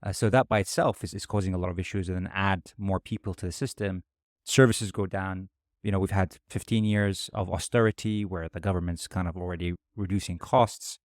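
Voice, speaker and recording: Deep-voiced man, reflective and measured. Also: fairly even intonation, dry recording, clean studio sound. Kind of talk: conversational discussion.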